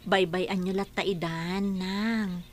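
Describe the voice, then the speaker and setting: A woman playing a calm, patient young wife in a radio drama, talking to her mother in a soft, pleading way. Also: on the low side, takes it slowly, slight background noise. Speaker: Juliet, the daughter. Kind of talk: amateur radio drama acting.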